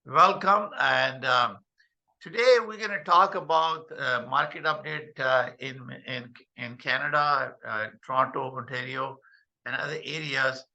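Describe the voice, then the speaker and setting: An older man hosting a video call meeting, speaking haltingly with many fillers. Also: mid-range voice, creaky, pushed and bright, highs cut off. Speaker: host.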